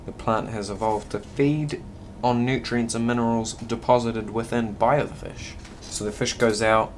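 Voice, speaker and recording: A man doing casual gameplay commentary, muttering to himself and reading game text aloud with occasional stumbles. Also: medium pitch. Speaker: video game player. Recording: clearly noisy recording with background hum.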